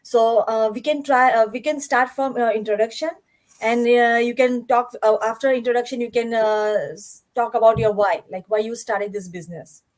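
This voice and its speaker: A woman hosting a live interview series over a video call, upbeat but halting, with many fillers. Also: on the high side, fairly even intonation, darkish tone. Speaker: host.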